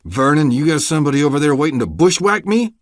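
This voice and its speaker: A man's voice: mid-range voice, animated, moderate pace, dry recording, studio quality, pitched above the performer's own voice, natural voice. A man voicing a brutal, bullying corrupt deputy who threatens and barks orders. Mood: furious. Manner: menacing, threatening.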